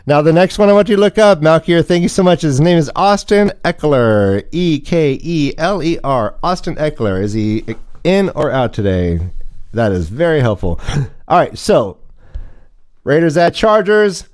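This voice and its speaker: A man's voice: a little high, very animated, moderate pace, darkish tone. Casual male fantasy football show host, theatrical emphasis. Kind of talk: casual sports talk show hosting.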